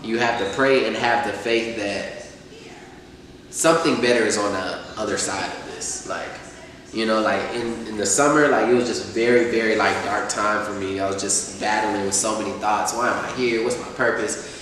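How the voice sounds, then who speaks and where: A rapper in his twenties from Atlanta, talking casually in an interview, relaxed and rambling with many fillers. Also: fairly low pitch, moderate pace, slight background noise. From Atlanta, USA. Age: 20s. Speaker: rapper, guest. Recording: echoey room, distant mic.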